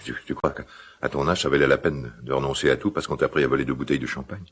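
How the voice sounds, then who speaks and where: An actor playing a gruff, quick-tempered but caring middle-aged father in a radio drama, with halting, repetitive, colloquial speech. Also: fairly even intonation, a bit bright, heavily acted. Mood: earnest, affectionate. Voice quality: deep, gravelly, husky. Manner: halting, repeats himself, blustering colloquial speech. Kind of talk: naturalistic French radio drama acting.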